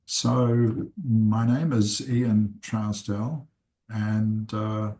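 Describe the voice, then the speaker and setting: Slow, low-voiced older man. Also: flat delivery, warm tone. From England, raised in New Zealand. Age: 70s. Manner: slow, unhurried, with frequent "uh" pauses. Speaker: seminar teacher and consultant. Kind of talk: unscripted personal introduction.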